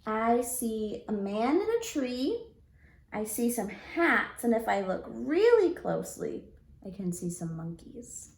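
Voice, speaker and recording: A woman teacher reading a storybook aloud to young children in an expressive, deliberate way. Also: fairly high pitch, echoey room. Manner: deliberate, expressive, prompting listeners with questions.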